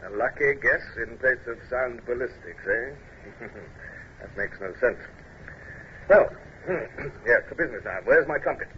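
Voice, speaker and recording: An actor playing a weary, proud British naval commander who is gruffly kind, with hesitant, clipped speech and throat-clearing, in old-time radio drama style. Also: male voice, medium pitch, measured pace, pauses often, close to an everyday voice. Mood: dry, brisk. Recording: muffled noisy old radio recording.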